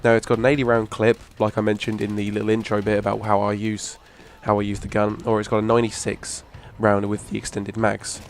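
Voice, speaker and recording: A hoarse young man, rambling casually through a video game weapon review. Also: fairly low pitch, completely flat delivery, a little fast, hardly any pauses, a little hiss or hum. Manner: rambling, restarts a lot, casual swearing. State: hoarse from a cough. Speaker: gaming channel host. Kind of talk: casual gameplay commentary.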